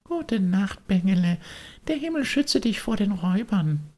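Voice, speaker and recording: A man voicing the gentle, solemn ghost of a cricket in a soft, hollow, breathy whisper, pleading slowly in a storybook reading. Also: high-pitched, big pitch swings, voice pushed up, heavily acted. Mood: sad farewell. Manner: slow, drawn-out pleading.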